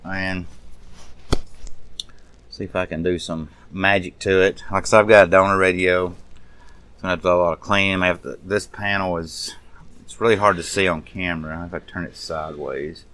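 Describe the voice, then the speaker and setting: A man with a low-pitched voice talks casually through restoration work. He sounds unscripted and folksy, often half talking to himself as he handles what is in front of him. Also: fairly even intonation, fairly quick, fairly bright tone. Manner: unscripted, muttering to self while working. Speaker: radio restoration hobbyist, presenter. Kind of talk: casual hands-on restoration walkthrough.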